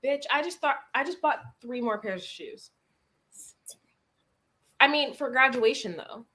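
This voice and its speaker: A woman in her twenties telling stories in casual, animated chat, swearing freely as she goes. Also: sits high, a little slow. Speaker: guest on a group reading livestream.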